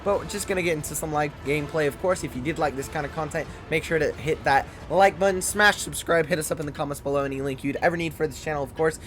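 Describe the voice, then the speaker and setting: Fast-talking man reviewing a game tank. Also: fairly high pitch, a bit bright. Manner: fast-talking, loose and chatty. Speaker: gaming channel host.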